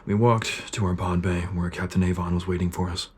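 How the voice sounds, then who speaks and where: Brooding soldier, played by a man, low voice, terse, war-weary sergeant for sci-fi audio drama. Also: fairly even intonation, a little fast, darkish tone, a little hiss or hum, lightly acted. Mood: subdued, reflective. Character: bitter, battle-worn soldier, sergeant of shock troops.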